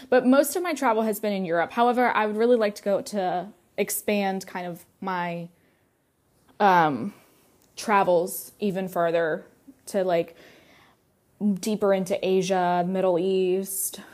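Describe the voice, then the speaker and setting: A young adult woman hosting a podcast, talking casually about her own life, full of "like" and "um". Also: fairly low pitch. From United States. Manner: chatty, many fillers and "like". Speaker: podcast host. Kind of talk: casual personal monologue.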